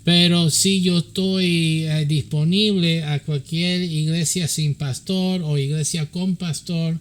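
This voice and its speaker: Man slowly speaking halting Spanish. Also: on the high side, fairly even intonation. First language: English. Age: middle-aged. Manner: halting Spanish with many fillers; switches between English and Spanish. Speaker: pastor and counselor to pastors. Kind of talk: informal teaching talk.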